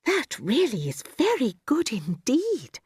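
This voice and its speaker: A gentle lady schoolteacher as a woman narrator plays her, kindly and a little prim. Her voice is raised and very melodic, attentive and encouraging, in a dry storybook recording. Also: sits high, wildly swooping pitch, slow pace, slightly rough, no room sound, voice pushed up, full character voice.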